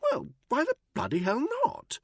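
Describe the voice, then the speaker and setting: A man's voice: high-pitched, huge pitch swings, normal speed, gravelly, slightly rough, no echo, studio quality, pitched above the performer's own voice, heavily acted. A man voicing a panicky, cowardly official who stammers and trips over his words. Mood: flustered.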